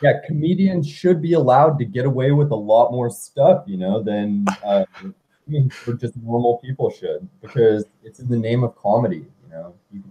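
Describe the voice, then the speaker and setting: A man's voice: mid-range voice, moderate pace, mellow, poor audio. A man in a casual group chat, talking in a loose, chatty way full of "like" and "you know".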